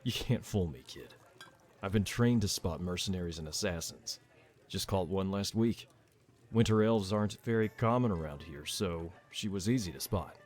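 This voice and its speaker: A man's voice: deep voice, normal speed, a little mellow. A man acting a shrewd, fatherly old tavern bartender in a fantasy audio drama, wry and knowing. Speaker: voice actor in an audio roleplay.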